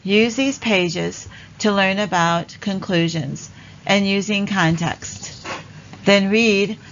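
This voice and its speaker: A woman narrating a read-along lesson for children in a deliberate, instructional way. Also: mid-range voice, a bit bright, muffled recording, slight background noise.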